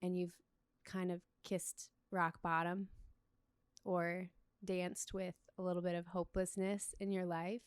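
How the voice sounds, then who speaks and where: A young adult woman, a relationship coach, speaking as a guest in a calm, reflective, soft-spoken way. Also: fairly low pitch, a little slow, smooth, dry recording, clean studio sound. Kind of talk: interview conversation.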